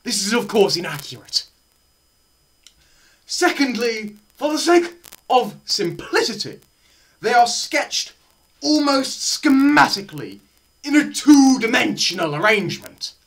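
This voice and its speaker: A young man giving a dramatised science lecture, theatrical, swinging between shouts and hushed murmurs, with pauses and repetition for effect. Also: extremely high pitch, big pitch swings. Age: young adult. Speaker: presenter. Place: small bare indoor room.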